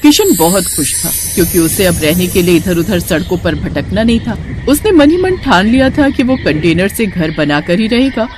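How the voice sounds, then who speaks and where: A woman narrates a moral cartoon tale in a scripted storytelling style. Her pitch is middling, and there is clear background noise behind her voice. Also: sing-song, normal speed, hardly any pauses.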